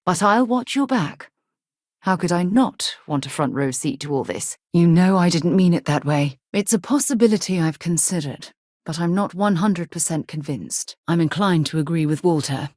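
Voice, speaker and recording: Thoughtful sci-fi game explorer lady, low voice, dry close sound, kinda wry and proper. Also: lively intonation, normal speed, darkish tone, no echo. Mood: earnest. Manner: earnest, thoughtful, dryly wry. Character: earnest, wry, principled space explorer woman. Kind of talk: video game voice acting.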